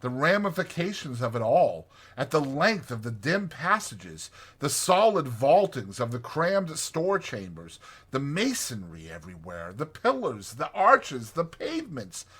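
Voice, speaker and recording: A man's voice: medium pitch, huge pitch swings, unhurried, few pauses, fairly bright tone, breathy edge. A man narrating a classic storybook as an audiobook reader.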